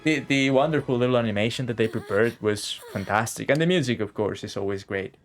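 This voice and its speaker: A man giving casual live commentary as a game streamer, halting and often repeating his phrases. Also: mid-range voice. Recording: game music behind the voice.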